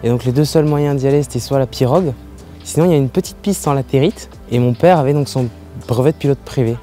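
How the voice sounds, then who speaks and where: A young man from French Guiana, a pilot speaking earnestly in an interview, with pauses and fillers. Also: medium pitch, warm tone, faint music behind. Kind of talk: interview testimony.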